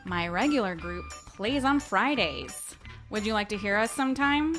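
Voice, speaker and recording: A woman's voice: mid-range voice, animated, normal speed, over music, lightly acted. A woman reading the part of an awkward, earnest nerdy man in an amateur dramatic reading of a novel, stilted and over-literal.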